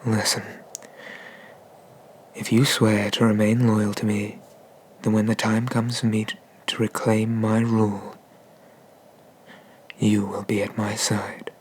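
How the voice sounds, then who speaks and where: A man voices an ancient dragon god for an ASMR roleplay. He is haughty and condescending yet merciful, very slow and measured, with little rise or fall in pitch, slipping into breathy whispers. Noticeable ambient noise sits behind the voice. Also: level tone. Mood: imperious, inviting. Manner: slow, deliberate, formal speech with murmured, breathy whispers.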